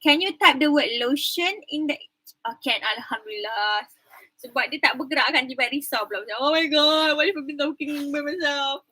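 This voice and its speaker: A woman's voice: sits high, a little fast, sharp tone, rough recording. A woman with a Malaysian accent teaching an online English class, mixing English and Malay in a chatty, emphatic way over a computer mic. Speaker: university English lecturer. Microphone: computer mic on video call.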